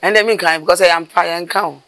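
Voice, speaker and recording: A middle-aged woman with a Ghanaian accent, a mortuary worker telling her stories in an outdoor interview, animated and gesturing as she talks. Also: fairly low pitch, over a noisy crowd. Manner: animated, gesturing with her hands, rapid bursts of speech. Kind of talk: storytelling interview. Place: outdoors by a building wall.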